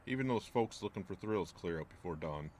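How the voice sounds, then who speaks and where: An actor playing a gruff, wary small-town landlord in an audio drama, speaking in a curt, folksy, ominous way. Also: male voice, low-pitched, animated, fairly quick, a little mellow, a little hiss or hum. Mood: grim, wry.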